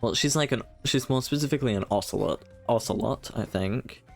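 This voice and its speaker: A man giving casual live commentary as a game streamer, musing aloud in a halting way. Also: mid-range voice, creaky, a little mellow, faint music behind.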